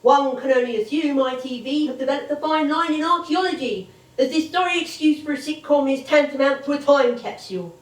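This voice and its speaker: An actress playing an older English music-hall comedienne on stage, wistful and chatty, reminiscing in a rambling way. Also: female voice, high-pitched, brisk, natural voice. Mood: wry, resigned. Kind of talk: theatrical stage monologue and dialogue. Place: theatre stage. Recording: echoey stage, distant microphone.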